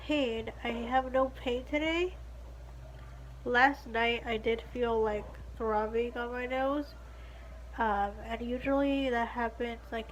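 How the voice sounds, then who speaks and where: A woman's voice: a little high, a little hiss or hum. A young woman talking casually to a phone camera in her living room, her voice congested and her lips stiff after nose surgery. She rambles chattily, with many fillers. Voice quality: stiff-lipped, slightly muffled speech. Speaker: beauty vlogger. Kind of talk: casual video diary. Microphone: phone camera at arm's length.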